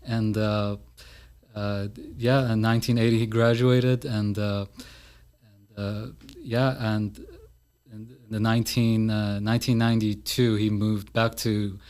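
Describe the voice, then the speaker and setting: Guy around 30 from iran, low smooth voice, kinda flat, lots of uh and you know. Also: unhurried. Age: about 30. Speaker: podcast guest, author. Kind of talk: casual interview talk. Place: podcast studio.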